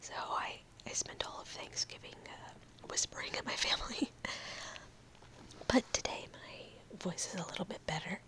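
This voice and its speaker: A young woman in her room, whispering slowly and softly into a close mic in a casual ASMR ramble, her voice a little odd after a cold. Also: lowish voice. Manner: slow, halting, talks with fillers and small laughs. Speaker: ASMR video creator.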